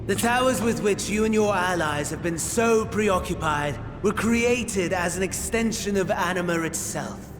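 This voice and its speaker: An actor playing a sly imperial servant in a video game, smugly courteous and reverent, with florid, preacher-like formal delivery. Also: male voice, high-pitched, unhurried, few pauses, music in the background. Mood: smug, deferential. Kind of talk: theatrical video game cutscene narration.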